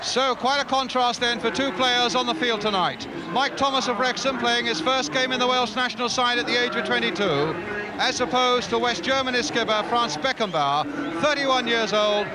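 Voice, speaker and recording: A man calls a football match in a clipped, measured broadcast manner, his voice pitched high and a little strained. A loud stadium crowd roars behind him. The old recording is full of noise. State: voice strained from travel. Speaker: football commentator. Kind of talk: match commentary with off-air asides.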